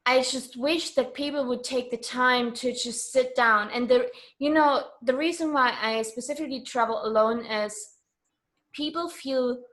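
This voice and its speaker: Higher-voiced woman from Germany, chatting candidly. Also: a bit bright. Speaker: interview guest. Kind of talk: casual interview chat.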